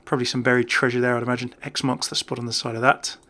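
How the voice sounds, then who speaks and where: A man with a bright, forceful voice at a middle pitch gives casual video game commentary. He is chatty and jokey, rambling along in an unscripted way. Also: monotone, racing. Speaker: gaming YouTuber.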